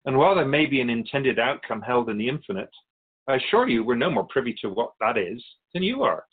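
Male actor as wise otherworldly spirit, husky voice, lively swooping pitch, gentle, cryptic, courteous, radio drama. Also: narrow, tinny audio. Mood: cryptic, teaching. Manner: measured, philosophical, courteous.

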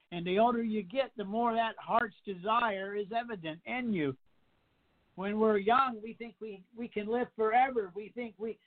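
A man in his sixties preaching a radio sermon, stacking up repeated phrases and emphatic rhetorical questions. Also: high-pitched. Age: 60s. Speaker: pastor. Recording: tinny phone line.

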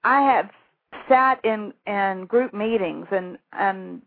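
A middle-aged Southern woman with a gravelly, creaky voice tells her stories in a rambling, self-deprecating way, with laughs along the way. The sound is a tinny phone line, close and dry. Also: medium pitch, slow, no echo. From Shreveport, Louisiana. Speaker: author and guest. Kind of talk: phone interview, storytelling.

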